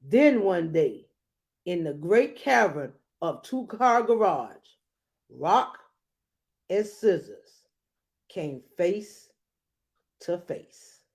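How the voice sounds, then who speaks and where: A woman's voice: on the low side, big pitch swings, extremely slow, creaky, pauses often, a little mellow. A woman reading a children's storybook aloud in a dramatic way, with playful asides. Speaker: storybook reader. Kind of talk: animated children's read-aloud narration.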